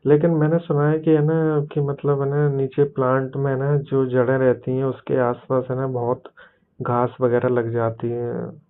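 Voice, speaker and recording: A man acts out a shy but suggestive younger brother in a phone-call drama. His voice sits at a middle pitch and stays fairly monotone, stumbling through hesitant phrases. The sound is narrow and muffled, like a call recording. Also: clean tone, close to an everyday voice. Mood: coy, suggestive. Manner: hesitant, halting, padded with verbal tics. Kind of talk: improvised audio roleplay of a private phone call.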